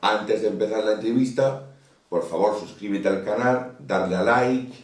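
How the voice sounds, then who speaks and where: A man hosting his own channel and interviewing a guest, asking short, measured questions. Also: lowish voice, far from the mic. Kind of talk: casual interview. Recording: roomy, echoey, voice away from mic.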